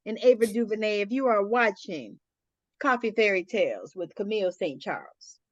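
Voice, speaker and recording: A woman's voice: mid-range voice, expressive, moderate pace, no echo, highs cut off. A middle-aged woman hosting a casual chat, blunt and colloquial, peppering her talk with "you know". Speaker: interview host.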